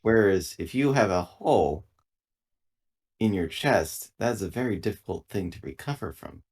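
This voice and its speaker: Man playing a husky, formal protective android. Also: on the low side, lively intonation, darkish tone. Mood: earnest, reasoning. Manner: measured, polite, precise. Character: formal, protective male android crew member. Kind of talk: improvised sci-fi roleplay.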